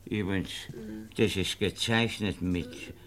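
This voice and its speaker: An actor playing an obedient, deferential police subordinate in a German radio drama. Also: male voice, low-pitched, steady tone, slow, crowd noise. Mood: dutiful, deferential.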